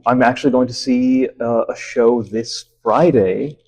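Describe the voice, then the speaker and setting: A man who teaches math, answering interview questions in a relaxed, friendly way with many fillers. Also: medium pitch, measured pace. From Lebanon.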